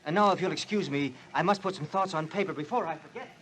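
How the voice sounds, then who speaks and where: A man playing an earnest, imaginative inventor in a 1940s radio drama, speaking formally and deliberately. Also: a little high, a bit bright, a little hiss or hum, lightly acted. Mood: eager, inspired.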